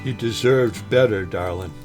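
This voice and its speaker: A man playing a forgetful, folksy older man who talks haltingly, in a naturalistic drama. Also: lowish voice, faint music behind, natural voice. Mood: nervous, apologetic. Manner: halting, folksy, mild exclamations. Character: forgetful old man, folksy, nervous, teasing lifelong fisherman. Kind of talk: naturalistic short film drama acting.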